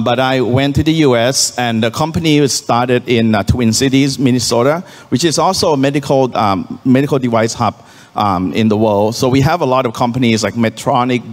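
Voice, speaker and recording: A man from Thailand, a Thai native speaker, giving a startup conference talk on stage into a handheld mic, halting and earnest, with many ums and restarts. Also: medium pitch. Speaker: startup founder presenting on stage. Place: conference venue hall. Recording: hall reverb from a live venue.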